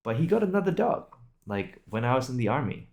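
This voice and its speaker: A man's voice: mid-range voice, big pitch swings, brisk, vocal fry, a little mellow, lo-fi sound. A young man from Singapore and Australia chatting casually and unscripted, thinking aloud in a rambling, hesitant way. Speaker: video podcast host. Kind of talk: casual improvised solo chat.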